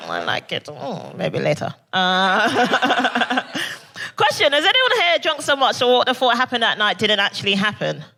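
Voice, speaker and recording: A young woman from Barbados doing a stand-up comedy set in a club, with brash, punchy jokes and quick crowd work. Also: on the high side, wildly swooping pitch, vocal fry, sharp tone. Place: comedy club stage before a live audience.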